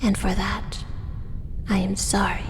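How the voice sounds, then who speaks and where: A woman's voice: mid-range voice, level tone, big-room sound, slight background noise, natural voice. A woman whispering as a possessive spider-woman. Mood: whispery, possessive. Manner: soft, slow, whispered, doting yet possessive. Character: possessive female drider, spider-woman monster. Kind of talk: ASMR audio roleplay.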